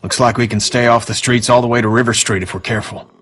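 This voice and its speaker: A man acting a gruff, stubborn middle-aged survivor in a dramatic video game, blunt and profane. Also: mid-range voice, steady tone, quick pace, fairly bright tone, slight background noise, close to an everyday voice. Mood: weary, grim.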